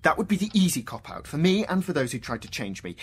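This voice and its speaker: Man playing an anguished, husky-voiced logical thinker. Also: a little high, very animated, heavily acted. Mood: exasperated. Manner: emotional, halting, sighing delivery. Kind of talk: radio drama acting.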